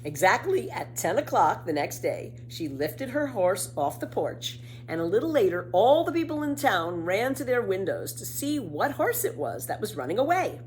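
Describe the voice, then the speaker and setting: A woman reads a children's story with very lively intonation. She stresses key words deliberately and keeps a mid-range voice. Faint noise runs behind her. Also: mid-range voice. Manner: animated, deliberate emphasis. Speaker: storybook reader. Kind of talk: expressive scripted narration of a children's book.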